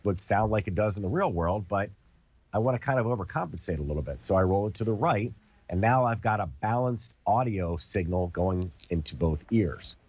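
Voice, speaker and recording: A man's voice: lowish voice, lively intonation, a little fast, a little mellow, breathy edge, dry recording, highs cut off. A man with a cold, sounding congested, hosting a casual product demo and explaining with everyday comparisons. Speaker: TV host demonstrating a product.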